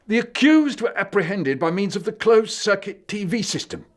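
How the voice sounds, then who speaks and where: A man's voice: sits high, sing-song, normal speed, voice pushed up. A man voicing a pompous male dog who argues a case in grand, orating tones in a storybook reading.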